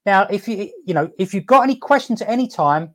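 A man hosting a casual live stream, upbeat and laughing often, talking haltingly with many fillers and restarts and asking "okay?" to check in. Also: high voice, expressive, a bit bright. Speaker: host.

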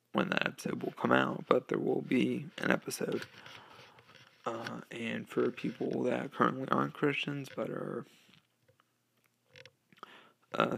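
A sixteen-year-old boy hosting his own podcast, talking casually and hesitantly with lots of ums and uhs, through a low-quality microphone. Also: gravelly, a bit bright. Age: teens. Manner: hesitant, many ums and uhs, occasional laughs. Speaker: podcast host. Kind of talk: casual solo podcast talk. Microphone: low-quality home microphone.

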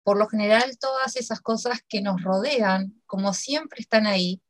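Woman host, encouraging interview manner, middle pitch, dry sound. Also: normal speed, no room sound. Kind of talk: interview conversation.

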